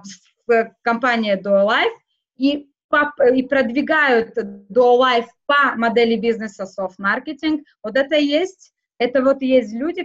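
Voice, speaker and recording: A woman whose first language is not Russian, speaking haltingly in a casual interview, with many fillers and restarts. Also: on the high side, highs cut off. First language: not Russian; schooled outside Russian. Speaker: interview guest.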